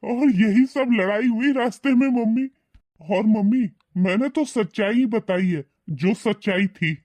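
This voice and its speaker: A man's voice: sits high, a little slow, a little mellow, no echo. A man voicing a contemptuous, snobbish married son who sneers and complains in a cartoon family drama. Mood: self-righteous. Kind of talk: animated family drama dialogue.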